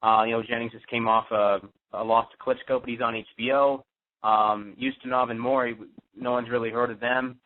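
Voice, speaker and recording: A medium-pitched man speaks through a muffled, narrow telephone line. He questions politely as a reporter, hesitating often as he forms his phrases. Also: little pitch movement, normal speed. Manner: hesitant, courteous. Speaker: boxing reporter.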